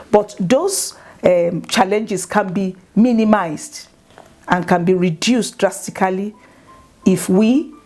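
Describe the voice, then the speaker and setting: A woman from Nigeria with a Nigerian accent, speaking earnestly about her charity's work in a measured way, with some fillers and restarts. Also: fairly low pitch, a little mellow. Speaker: charity founder. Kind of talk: promotional talk to camera.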